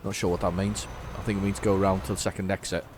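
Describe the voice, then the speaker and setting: A man's voice: low voice, flat delivery, fast talker, darkish tone, a little hiss or hum. A man giving casual, rambling gameplay commentary, often correcting himself. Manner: rambling, self-correcting, chatty. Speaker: gaming channel host.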